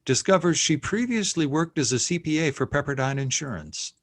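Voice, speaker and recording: A man's voice: fairly high pitch, expressive, fairly quick, dry recording, studio quality. A man reading scene headings and action lines as narrator of a screenplay table read. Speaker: narrator reading stage directions.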